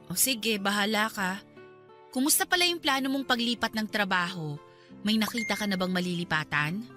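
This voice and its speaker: A woman's voice: medium pitch, moderate pace, pushed and bright, faint music behind, close to an everyday voice. A woman playing a hurt, jealous wife in a Tagalog radio drama, nagging and pleading with emotion. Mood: caring, casual. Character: hurt, jealous young wife confronting her husband.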